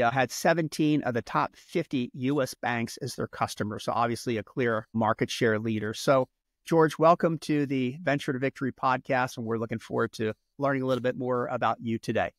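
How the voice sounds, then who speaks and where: A man's voice: mid-range voice, moderate pace, no echo, studio quality. A man hosting a podcast, giving an upbeat, read introduction of his guest. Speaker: podcast host.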